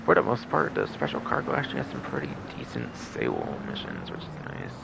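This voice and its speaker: A man with a gravelly voice doing rambling livestream commentary on a video game, repeating his phrases over and over. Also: rumbling low, huge pitch swings, a little fast. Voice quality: gravelly, creaky voice. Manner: frequent throat clearing. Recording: noticeable background noise.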